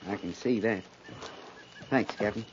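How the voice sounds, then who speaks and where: A man's voice: fairly low pitch, unhurried, close to an everyday voice. A man playing a wry, grumbling but loyal frontier sergeant with a rustic American drawl in a 1950s radio western. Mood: wry, easygoing. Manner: drawling, sardonic understatement. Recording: dull, noisy 1950s radio recording.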